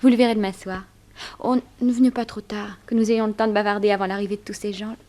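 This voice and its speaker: A woman's voice: mid-range voice, little pitch movement, quick pace, darkish tone, natural voice. An actress playing a naive, adoring young society woman, gushing and girlish, in 1960s French radio drama style. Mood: amused, adoring.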